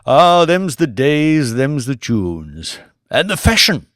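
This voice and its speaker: A man voicing an ancient, pompous aristocratic villain who drawls slowly and grandly. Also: on the high side, sing-song, fairly bright tone, dry recording, studio quality, voice pushed up, full character voice. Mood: reminiscing, scornful.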